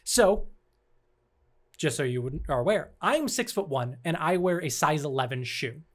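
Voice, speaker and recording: A man's voice: fairly high pitch, animated, moderate pace. A man telling a cryptid story on a casual comedy podcast, teasing his co-hosts and laughing often.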